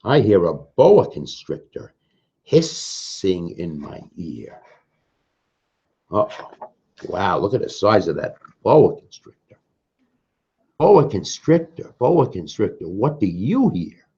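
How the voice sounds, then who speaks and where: Low-voiced, husky man reading to children. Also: very animated, warm tone. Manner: sing-song refrains, questions to listeners; slow, simple explanations for children. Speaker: children's story reader. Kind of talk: picture book read-aloud to young children. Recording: dull, muffled lo-fi sound.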